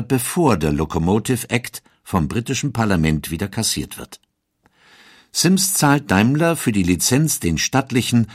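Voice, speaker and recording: A man narrating a scripted historical documentary in a storytelling manner with deliberate emphasis. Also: lowish voice, no room sound, studio quality.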